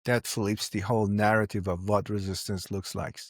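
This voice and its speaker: Male host, measured and affirming; low pitch, dry close recording. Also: little pitch movement, no room sound. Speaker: podcast host. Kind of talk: interview questions.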